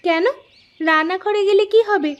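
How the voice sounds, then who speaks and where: High-pitched nagging young village wife. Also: female voice, steady tone, normal speed, muffled recording. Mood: scolding, surprised. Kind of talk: Bengali cartoon voice acting.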